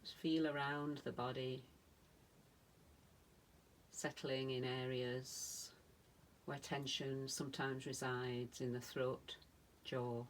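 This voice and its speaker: A woman meditation teacher leading a guided relaxation in a soothing manner, speaking in short phrases with long pauses. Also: very deep voice, level tone, a little hiss or hum. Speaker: yoga nidra meditation teacher.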